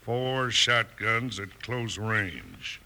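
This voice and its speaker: A lowish, very sharp-edged outlaw leader, cocky and vengeful. He gives orders with hard menace in the manner of a Western radio serial, on a slightly noisy old recording. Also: animated, very slow, exaggerated voice. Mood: vengeful, menacing. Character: vengeful, cocky male outlaw gang boss, ex-convict. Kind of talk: 1950s Western radio drama acting.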